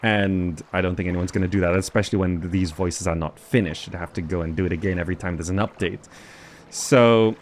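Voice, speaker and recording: A man's voice: deep voice, a little hiss or hum. Man rambling through casual game commentary. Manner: rambling, thinking aloud. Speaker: gaming video host. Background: faint game music.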